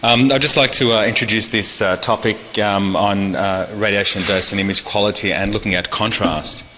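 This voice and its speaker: A man's voice: lowish voice, steady tone, moderate pace, pushed and bright. A man giving an academic medical presentation at a conference, explaining things informally and addressing listeners directly. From Australia. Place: conference hall. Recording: reverberant hall with faint noise.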